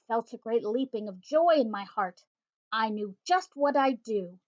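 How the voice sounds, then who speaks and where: A woman teacher reads a rhyming picture book aloud, her high voice rising and falling with lively expression. The recording has a slightly dull, lo-fi sound. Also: moderate pace.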